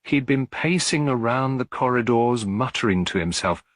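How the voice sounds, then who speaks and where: A man's voice: medium pitch, animated, normal speed, no echo, studio quality, pitched above the performer's own voice, close to an everyday voice. A man voicing a timid, anxious young housemaid in an audiobook mystery, tremulous, hesitant and deferential. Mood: nervous confiding.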